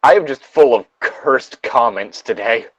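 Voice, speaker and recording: A man with a lively, swooping pitch reads a story aloud and chats casually around it. His humor is wry and irreverent, and the sound is close and dry. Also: a little slow, no room sound, dull audio. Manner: wry, irreverent, crude joking. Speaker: host of a comedic fan-fiction reading. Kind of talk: casual banter and mocking story reading.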